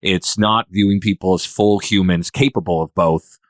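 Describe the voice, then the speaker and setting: A man's voice: sits low, steady tone, moderate pace, dry recording, studio quality. A man hosting a casual podcast discussion, reflective and hesitant, often rephrasing as he goes. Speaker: podcast host.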